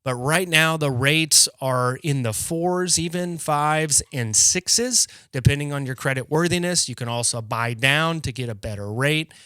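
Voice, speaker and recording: Smooth-voiced real estate podcast host. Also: male voice, a little high, measured pace, hardly any pauses, bright, forceful tone, dry recording. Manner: conversational, explanatory, plain-spoken. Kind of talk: casual market update.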